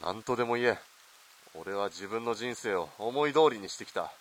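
A man's voice: on the low side, normal speed, fairly bright tone. A man playing a corrupt, callous army lieutenant colonel in a radio drama, haughty and commanding. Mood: contemptuous, angry.

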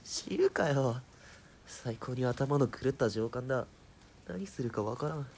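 A man's voice: medium pitch, animated, a little slow, a little mellow, slight background noise. An actor playing a captured military policeman in an audio drama, defiant but cornered, blustering and grudging.

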